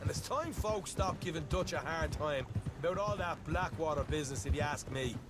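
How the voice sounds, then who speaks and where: A cocky young outlaw from a western video game, played by a man, pitched high for a male voice. He talks, bragging and defending himself. Loud outdoor ambience with horse hooves runs behind him. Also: animated, brisk, very noisy, close to an everyday voice. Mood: earnest. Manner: chatty, bragging, self-justifying. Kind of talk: video game cutscene acting.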